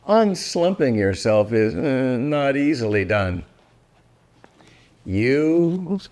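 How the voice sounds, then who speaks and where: An older man performing a children's story aloud in a theatrical, deliberate, welcoming manner. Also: medium pitch, a little mellow. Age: 60s or older. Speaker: storyteller and host.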